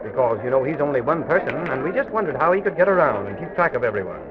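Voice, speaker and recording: An actor playing a gentle, agreeable storyteller companion in an old children's radio serial, speaking in a polite, wholesome way. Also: male voice, medium pitch, lively intonation, quick pace, noisy background, close to an everyday voice. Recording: tinny, narrow vintage radio with music.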